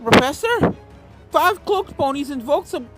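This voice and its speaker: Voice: high voice, lively intonation, unhurried, over loud music, voice pushed up. A narrator voicing a plucky but anxious little filly pony who speaks urgently. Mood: alarmed. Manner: urgent, exclaiming.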